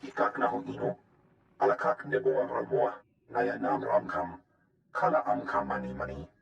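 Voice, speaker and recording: Very gravelly alien creature. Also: male voice, lowish voice, very animated, normal speed, full character voice. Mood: gruff. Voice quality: guttural, gravelly. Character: gruff alien creature speaking a guttural invented tongue. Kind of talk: video game dialogue in an invented alien language. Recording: dull muffled echoing game audio.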